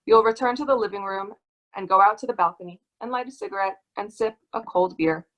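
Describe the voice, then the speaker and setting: A woman guest reader presenting literary work on an online call in a measured storytelling way. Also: mid-range voice, a bit bright, poor audio. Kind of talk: literary reading aloud.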